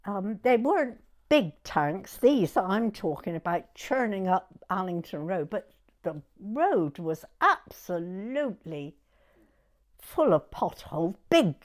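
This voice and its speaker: A woman in her nineties from Dorset with a southern English accent, reminiscing in an interview in a hesitant, halting way and repeating words. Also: mid-range voice, big pitch swings. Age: 90s. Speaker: oral history interviewee.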